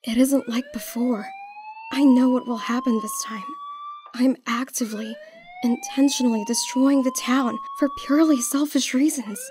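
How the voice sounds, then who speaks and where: A woman's voice: high voice, expressive, moderate pace, noisy background. A woman voicing an anxious young woman who second-guesses herself, speaking hesitantly. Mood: guilt-ridden. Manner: hesitant, guilt-ridden inner monologue. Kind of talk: fan animation voice acting.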